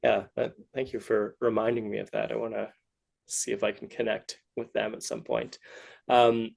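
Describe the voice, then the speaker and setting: A man hosting a casual interview, asking questions in a halting way and often rephrasing them midway. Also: lowish voice, little pitch movement, gravelly, a little mellow. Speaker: podcast host, interviewer.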